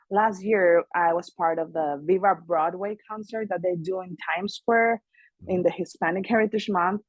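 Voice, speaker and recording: A woman's voice: lowish voice, no echo, poor audio. Mexican-accented woman, dry and close. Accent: Mexican Spanish. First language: Spanish. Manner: animated, laughs often, earnest. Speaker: guest singer and actress. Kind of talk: casual interview chat.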